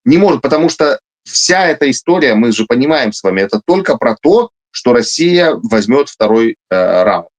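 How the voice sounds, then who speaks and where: A man's voice: mid-range voice, very animated, moderate pace, few pauses. A man who is a military officer, answering interview questions emphatically, with frequent hesitations and restarts. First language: Russian.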